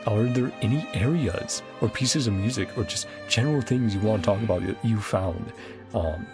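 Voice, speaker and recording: A man's voice: lowish voice, moderate pace. A man casually chatting as a livestream game host, rambling and enthusiastic in his commentary. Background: game music.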